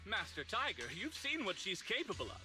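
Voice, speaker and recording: A showy film director whose pitch swings widely. He is persuasive and grandiose, a theatrical game character speaking over clear music. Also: big pitch swings, a little fast, pushed and bright, heavily acted. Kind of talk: game voice acting.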